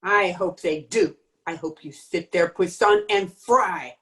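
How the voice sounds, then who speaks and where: A woman playing a hard-boiled, sharp-tongued female crook in a radio detective drama, curt and defiant. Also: lowish voice, takes it slowly. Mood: bitter, defiant. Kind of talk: old-time radio detective acting. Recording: home recording over video call.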